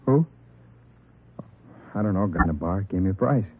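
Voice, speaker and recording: A man's voice: deep voice, lively intonation, moderate pace, slight background noise. A man in a 1940s radio drama playing a nervous drug addict under questioning, stammering, defensive and repeating questions. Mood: evasive, nervous. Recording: muffled vintage 1940s radio recording.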